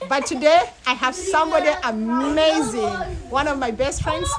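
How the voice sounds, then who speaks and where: A woman's voice: sits high, moderate pace, over a noisy crowd, rough recording. A woman from Uganda hosting her own channel, chatting casually and upbeat with an old friend. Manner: upbeat, agreeing often. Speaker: channel host.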